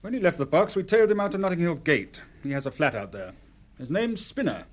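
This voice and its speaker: An actor playing a confident, businesslike British police inspector in a radio drama. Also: male voice, on the high side, big pitch swings. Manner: businesslike, measured wording, assured.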